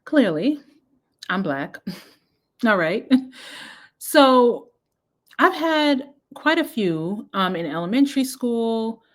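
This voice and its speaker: A woman in her thirties, born in Jamaica, hosting an education research channel, chatting casually and reading out study abstracts, often correcting herself. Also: medium pitch.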